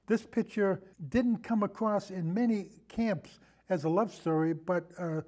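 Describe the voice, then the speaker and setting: A man in a casual film discussion, telling anecdotes in a halting, rambling way and often repeating his phrases. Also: high-pitched, a little mellow. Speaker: podcast guest, film discussion.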